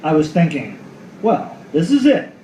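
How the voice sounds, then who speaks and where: A hardboiled, cynical private eye acted by a man tells his noir story with swooping, animated pitch. His voice sits a bit high and he swears with weary sardonic bite. The roomy live recording carries faint noise. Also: unhurried. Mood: wry, rattled. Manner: world-weary, profane, sardonic. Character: hardboiled cynical male detective at the world's end. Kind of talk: noir radio play, first-person narration. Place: live reading in a roomy venue.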